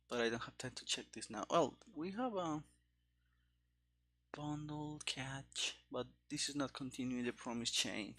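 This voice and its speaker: A man doing live coding commentary as a game developer, halting and muttering to himself in short fragments. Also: mid-range voice, fairly bright tone.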